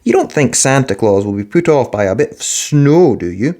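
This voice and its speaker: A man voicing a patient penguin father in a children's storybook, speaking firmly and calmly with gentle teasing. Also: mid-range voice, normal speed, pitched above the performer's own voice, lightly acted. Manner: firm, calm, gently teasing.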